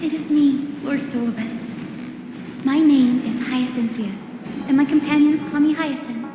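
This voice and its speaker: An actress playing a young, gentle but determined healer girl in a video game, earnest and reverent in manner. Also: high voice, unhurried, background noise. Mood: earnest, resolute. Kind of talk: dramatic video game voice acting. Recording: echoey reverberant hall under game music.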